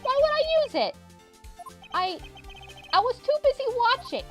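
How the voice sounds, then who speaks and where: A woman's voice: very high-pitched, sing-song, measured pace, muffled recording, faint music behind, heavily acted. A woman voicing a bratty, defiant little boy in a video game fan dub, cheeky and sulky. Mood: defensive.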